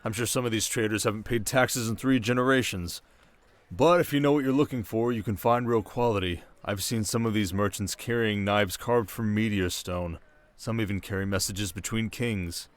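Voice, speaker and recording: A man's voice: on the low side, no echo, lightly acted. Man acting as a teasing young desert king. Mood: earnest. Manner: teasing, measured, quietly commanding. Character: young, sharp desert king, teasing, shrewd, protective new husband. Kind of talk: intimate ASMR roleplay acting.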